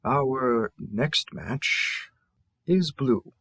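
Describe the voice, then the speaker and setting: A man's voice: mid-range voice, animated, fairly bright tone, husky, no echo, studio quality, heavily acted. A man playing a cheerful, polite dating-app host in a scripted audio roleplay, with a courteous, presenter-like delivery. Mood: wry, informative. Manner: courteous, measured, salesman-like welcome delivery.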